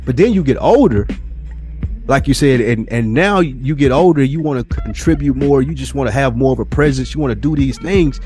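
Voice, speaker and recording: A man's voice: medium pitch, lively intonation, fairly quick, dark tone, faint music behind. A man in candid, casual podcast conversation, swearing freely in slang and repeating himself for emphasis. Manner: slangy, profane, emphatic repetition. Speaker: podcast co-host.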